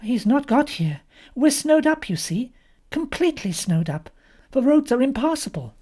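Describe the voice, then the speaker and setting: An anxious young housewife from a storybook, played by a woman, with a high, very mobile voice. She is polite but fretful, and expressive in every phrase. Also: moderate pace. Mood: flustered. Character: anxious, harried young wife running a guest house.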